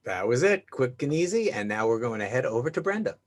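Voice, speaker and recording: A man's voice: mid-range voice, sing-song, brisk, slight background noise. A man in a mid-range voice hosts an online networking meeting, calling up each speaker in turn. He keeps it chatty and upbeat, speaking over a video call. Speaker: host. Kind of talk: moderating an online networking event.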